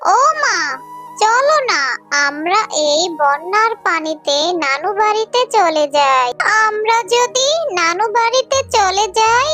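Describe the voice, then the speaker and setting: A performer voicing a frightened, pleading little girl fox cub in a children's cartoon, with plaintive, drawn-out appeals. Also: extremely high pitch, expressive, over music, full character voice. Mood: eager, coaxing.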